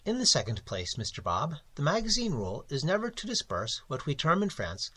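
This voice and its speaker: A man's voice: on the high side, wildly swooping pitch, brisk, a bit bright, slight background noise. Man putting on a fussy, wheedling old editor. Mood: bland, wheedling. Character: elderly male magazine editor, bland, evasive, wheedling.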